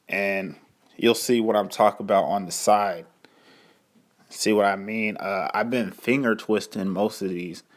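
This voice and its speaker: A young man, talking through a casual, chatty voice-over for his vlog with some hesitation. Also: fairly low pitch. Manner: laid-back, chatty, a bit hesitant. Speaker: vlogger.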